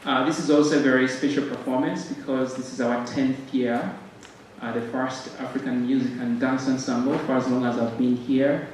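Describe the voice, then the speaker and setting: A man with a Ugandan accent giving a halting welcome speech as director of a music ensemble, with frequent pauses and repeated words. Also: mid-range voice, fairly even intonation, a little mellow. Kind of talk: welcome speech to a concert audience. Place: concert stage in a hall. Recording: very echoey hall, distant mic, faint noise.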